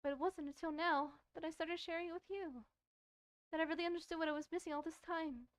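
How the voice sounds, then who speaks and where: A woman's voice: extremely high pitch, steady tone, quick pace, no echo, voice pushed up. A woman voicing a shy, bookish, self-doubting schoolgirl from a visual novel, hesitant and earnest. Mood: upset.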